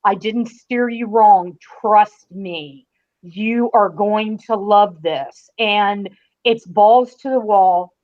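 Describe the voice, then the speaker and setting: A woman's voice: medium pitch, takes it slowly. A woman in a casual podcast discussion, explaining things emphatically as a guest critic and stretching out stressed phrases. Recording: dull, lo-fi call audio.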